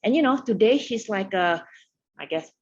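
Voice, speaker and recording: A woman's voice: mid-range voice, animated, moderate pace, highs cut off, slight background noise. A middle-aged woman from Indonesia, a tech leader answering interview questions thoughtfully, with many "you know" fillers.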